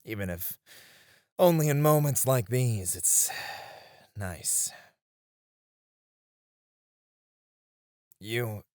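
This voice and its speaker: A man playing a battle-weary knight in a romantic ASMR roleplay, speaking softly and intimately with tender, wistful devotion to a comrade. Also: mid-range voice, expressive, slow, dry recording, clean studio sound. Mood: tender, musing. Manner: soft, intimate, hesitant with small chuckles.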